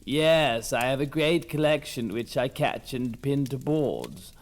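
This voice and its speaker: An actor playing a haughty young male aristocrat in an audio drama, speaking deliberately and self-importantly about himself. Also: medium pitch, expressive. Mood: proud, boastful. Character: haughty boastful young male aristocrat obsessed with pinning bugs. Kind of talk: scripted audio drama acting.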